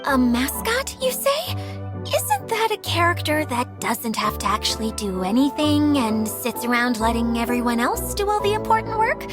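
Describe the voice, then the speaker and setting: High-pitched, theatrical game caretaker. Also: female voice, big pitch swings, moderate pace, music in the background. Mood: wry. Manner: theatrical, swinging from polite composure to panicked wailing. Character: self-deprecating, theatrical female caretaker of a magical domain, easily flustered. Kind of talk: video game cutscene voice acting.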